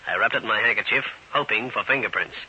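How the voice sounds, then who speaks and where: An American actor playing a tough, wry New York private detective, narrating and talking briskly in 1940s radio drama style. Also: male voice, on the low side, pushed and bright, breathy edge, full character voice. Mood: matter-of-fact. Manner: brisk, hard-boiled, clipped delivery. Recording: hissy, dull old-time radio recording.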